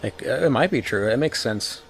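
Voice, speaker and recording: A young man streaming a video game, talking to his chat in a soft whisper with mouth noises, his throat sore from whispering. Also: mid-range voice, animated, moderate pace, husky, faint music behind. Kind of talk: casual gaming commentary, trying ASMR-style whispering.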